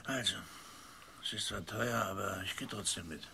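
An actor in a radio drama playing a cautious, fretful gambler with a hesitant, muttering way of talking. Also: male voice, fairly low pitch, moderate pace, pushed and bright, a little hiss or hum. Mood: dithering. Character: cautious, dithering male gambler, fretful about money.

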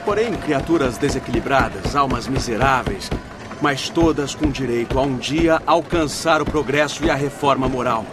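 An actor playing a calm, reassuring spirit guide in a radio drama, measured and didactic. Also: male voice, medium pitch, close to an everyday voice. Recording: noisy vehicle-like background ambience.

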